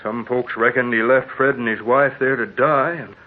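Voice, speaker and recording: An actor playing a skeptical, folksy desert-town local with dismissive rustic talk in a vintage radio drama. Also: male voice, mid-range voice, fairly even intonation, normal speed, lightly acted. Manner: drawling rustic speech, dismissive. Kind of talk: 1940s radio drama acting. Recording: tinny vintage radio recording.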